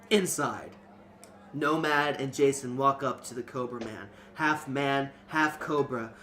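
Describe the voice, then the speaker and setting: A man's voice: medium pitch, fairly bright tone. A man reading a fantasy novel aloud as narrator, in an informal scripted storytelling style. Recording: noticeable background noise.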